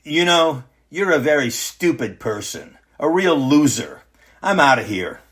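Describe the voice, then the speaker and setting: A man acts out a petulant, self-adoring strongman on the witness stand in a satirical radio play. He brags and rambles with very animated pitch, brushing everyone off. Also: a little slow. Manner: bragging, rambling, dismissive. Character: boastful, petulant ex-leader on trial, a swaggering satirical braggart. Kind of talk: satirical radio drama acting.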